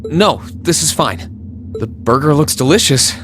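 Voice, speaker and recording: A man's voice: fairly high pitch, lively intonation, a little slow, fairly bright tone, faint music behind. An actor playing an earnest, brooding young male academy student in a video game, serious and insistent. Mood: pensive. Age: young adult.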